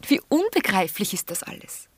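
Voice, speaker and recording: A woman's voice: medium pitch, sing-song, normal speed, dry recording. A woman playing an earnest, brooding young lady in a German radio drama, with intense, wistful, literary phrasing.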